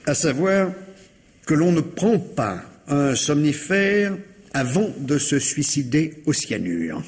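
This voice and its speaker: An actor playing a courteous, dutiful police commissioner who speaks in a formal, apologetic way, in 1950s French radio drama style. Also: male voice, a little high, big pitch swings, takes it slowly. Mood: formal, explanatory.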